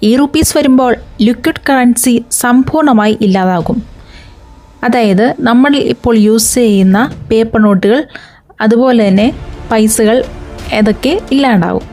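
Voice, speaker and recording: A woman's voice: fairly high pitch, moderate pace, warm tone, faint music behind. A woman hosting an explainer video, talking viewers through it step by step with rhetorical questions. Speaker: video channel host.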